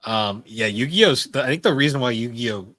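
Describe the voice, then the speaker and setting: A man's voice: medium pitch, expressive, normal speed, a bit bright, dry recording. A man co-hosting a podcast, chatting casually and informally in loose, rambling remarks. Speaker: podcast co-host. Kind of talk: casual chat about anime and games.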